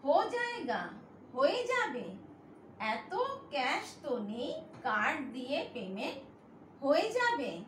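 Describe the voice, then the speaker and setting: Middle-aged female teacher, unhurried, drills each phrase twice; bright, sing-song pitch. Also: big pitch swings, echoey room, a little hiss or hum. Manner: explains each phrase slowly, repeating words for learners. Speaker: language teacher. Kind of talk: whiteboard language lesson. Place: indoor room in front of a whiteboard.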